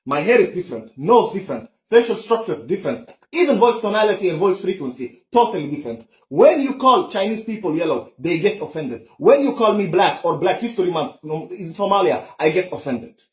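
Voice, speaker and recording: A man's voice: sits high, sing-song, a little fast, few pauses, reverberant, rough recording. A man delivering an insistent, lecturing opinion monologue to camera.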